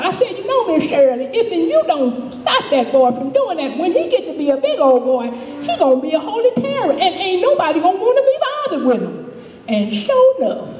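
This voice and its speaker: A feisty older street woman, played by a woman on stage, spins her story in a high-pitched drawl. She rambles and repeats herself with folksy, dramatic flair, her pitch leaping about. The recording is distant, in an echoey hall with an audience. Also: a little mellow, a little hiss or hum. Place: live stage in a reverberant room.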